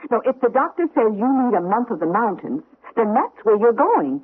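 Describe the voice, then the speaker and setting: An actress playing a doting but firm middle-aged wife, speaking tenderly in an old radio drama. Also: female voice, a little high, big pitch swings, a little fast, breathy edge. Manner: tender and soothing. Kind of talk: 1970s radio drama acting. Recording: tinny old radio broadcast.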